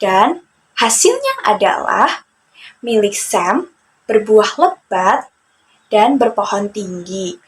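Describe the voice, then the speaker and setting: Slow-paced young woman storyteller. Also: mid-range voice, sing-song. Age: young adult. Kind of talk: children's fable narration, addressing listeners.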